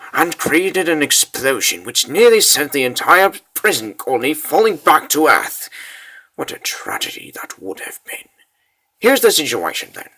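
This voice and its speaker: Quietly menacing monarch, played by a man, formal and measured, unhurried, with widely moving pitch. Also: husky, exaggerated voice, rough recording. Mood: commanding. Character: measured, sardonic male king giving orders. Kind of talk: fantasy comic dub.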